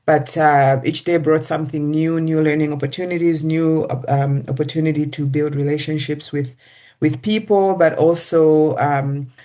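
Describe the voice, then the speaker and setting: A middle-aged guest from Zambia, answering interview questions in a hesitant way with many pauses and restarts. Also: mid-range voice, fairly even intonation, warm tone. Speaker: executive director, guest.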